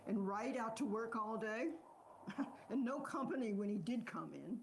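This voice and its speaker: A woman's voice: on the high side, expressive, unhurried, slight background noise, rough recording. A woman playing a regretful, plain-spoken country farm wife in a stage drama, with a hesitant, folksy way of talking. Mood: wistful, regretful. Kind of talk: stage drama dialogue acting.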